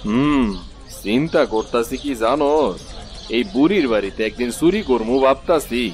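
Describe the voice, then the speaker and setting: Man playing a sly village thief, mid-pitched. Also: expressive, moderate pace, a little mellow, lightly acted. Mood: scheming. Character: scheming male village thief, the ringleader. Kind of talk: cartoon fairy tale acting.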